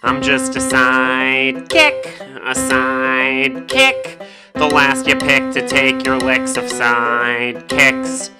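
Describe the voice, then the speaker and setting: A woman's voice: a bass voice, slow, over loud music. A woman playing an overlooked male superhero sidekick in a musical audio drama, hesitant and self-deprecating. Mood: wistful, resigned. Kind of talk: musical audio drama, dialogue and solo song.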